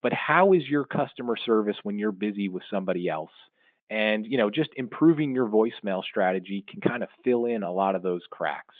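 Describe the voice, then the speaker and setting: A man's voice: on the low side, lively intonation, fairly quick, no echo. A middle-aged man giving an informal advice podcast monologue in an explanatory, conversational way, leaning on his key points. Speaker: podcast host and marketing consultant. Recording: muffled, narrow phone-like sound, dry.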